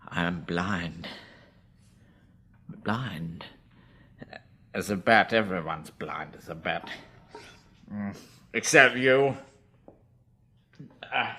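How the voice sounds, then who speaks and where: Slow, drunken British landlord, forceful. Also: male voice, fairly low pitch, exaggerated voice. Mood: bitter. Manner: slurred, halting, rambling drunkenly. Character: drunken, despairing blind pub landlord, bitter and bereaved. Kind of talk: radio drama acting.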